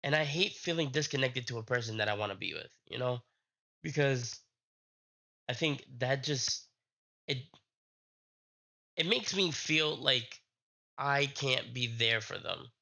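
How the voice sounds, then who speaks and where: A young adult man, sick with a strained voice, chatting casually on a live stream in a halting, rambling way. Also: medium pitch, expressive, vocal fry, pushed and bright.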